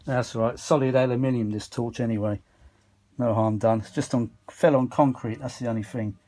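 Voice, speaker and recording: A man with a British accent talks at a middle pitch in a casual, unscripted way. He presents like a hobbyist at the workbench, rambling along and muttering asides to himself as he goes. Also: fairly even intonation, moderate pace, dark tone. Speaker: hobbyist repairer presenting a video. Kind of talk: casual unscripted running commentary while working. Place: home kitchen workshop. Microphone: handheld phone.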